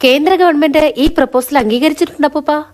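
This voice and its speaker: A woman's voice: high voice, fast, a little mellow, close to an everyday voice. A woman playing a pleading young granddaughter. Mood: earnest. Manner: pleading, affectionate. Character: young granddaughter, gentle and persuasive. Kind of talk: radio drama acting.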